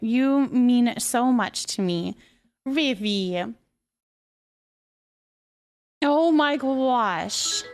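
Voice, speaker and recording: Gushing Canadian woman streamer, fairly high voice. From British Columbia, Canada. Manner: effusive, affectionate, repeating thanks and words for emphasis. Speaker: livestreamer. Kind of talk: casual livestream chat with viewers.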